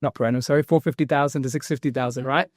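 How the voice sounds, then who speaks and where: A man's voice: medium pitch, no echo, clean studio sound. A man, talking energetically as a guest in a casual interview. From New Zealand. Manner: energetic, fast-flowing explanations with fillers. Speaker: guest, property investment founder.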